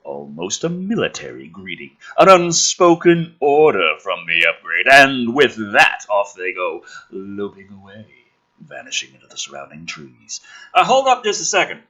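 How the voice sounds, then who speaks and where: A man's voice: fairly low pitch, wildly swooping pitch, moderate pace, bright, forceful tone, breathy edge, low-quality recording. A man reading a script dramatically and breaking off into sarcastic, exasperated, sweary commentary as the host.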